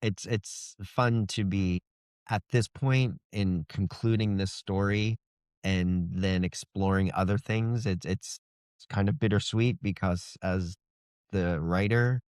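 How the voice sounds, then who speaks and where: A man's voice: low-pitched, fairly even intonation, slow pace, darkish tone, clear, resonant tone, no echo. A man speaking as a guest author in a casual interview, in a loose, conversational way as if thinking aloud.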